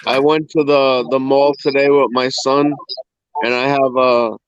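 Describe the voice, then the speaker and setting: A man's voice: medium pitch, flat delivery, a little slow, clean tone, no room sound. A man in his forties chatting in an easygoing way as a guest on a live stream. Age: 40s. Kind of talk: casual chat. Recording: dull, dry call audio.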